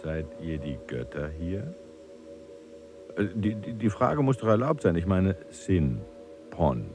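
A man's voice: extremely low pitch, expressive, takes it slowly, exaggerated voice. An actor playing a bewildered earthly traveller in an audio drama, hesitant and searching for his words. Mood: questioning. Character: bewildered earthly male traveller questioning cosmic beings. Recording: music under the voice.

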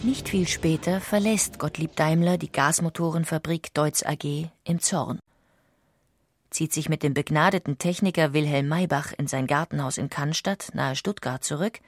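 Low-voiced woman narrating a documentary. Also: moderate pace, no echo. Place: dry studio.

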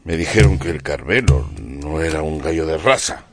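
Very deep-voiced proud father. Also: animated, moderate pace, heavily acted. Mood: grave. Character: proud, stubborn father who keeps a fighting cock. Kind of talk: Spanish-language radio drama acting.

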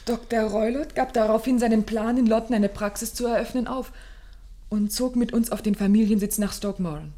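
A woman's voice: a little high, fairly quick, mellow, natural voice. Anxious, genteel young woman played by an actress. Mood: fearful. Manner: formal, dramatic, pleading phrasing. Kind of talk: radio play acting.

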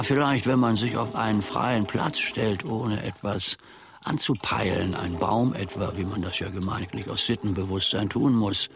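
An actor playing a pedantic, simple-minded manservant in a radio play, speaking in a ponderous, mock-learned, rambling way. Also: male voice, lowish voice, creaky, a bit bright. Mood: puzzled, musing. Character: pedantic, simple-minded male servant of a philosopher. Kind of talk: German radio play acting.

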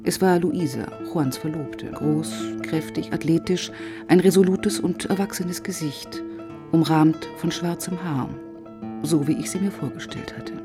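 A determined female police inspector in a radio crime drama. Her voice sits low, and she tells and probes her case in a sober, exact way. Soft music runs behind her. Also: measured pace. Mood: sober narrating. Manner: sober, precise, probing. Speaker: narrator and lead detective.

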